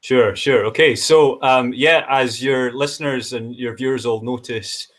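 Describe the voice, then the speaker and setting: A man's voice: mid-range voice, unhurried, a bit bright. A man with an east-coast Scottish accent, a podcast guest talking casually and hesitantly, with many fillers and restarts. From Scotland. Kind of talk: casual interview talk.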